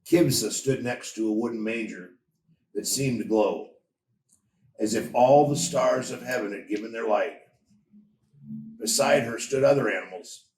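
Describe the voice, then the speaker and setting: A man reading a story aloud in a measured, expressive storytelling manner. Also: medium pitch. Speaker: story reader. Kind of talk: scripted storybook narration. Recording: roomy echo, distant from mic.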